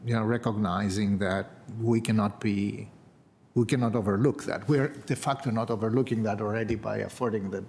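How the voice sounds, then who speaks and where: A man's voice: lowish voice, gravelly, a little mellow, a little hiss or hum. An American man on a county board, speaking earnestly at a public hearing with many uhs and repeated words.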